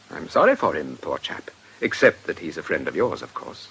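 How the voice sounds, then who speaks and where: An actor playing a guarded, quietly defiant older man in a radio drama, speaking in a clipped, formal way. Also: rumbling low, very animated, normal speed, gravelly, slight background noise, full character voice. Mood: gentle sympathy. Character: guarded, stern older male blackmail victim, quietly defiant.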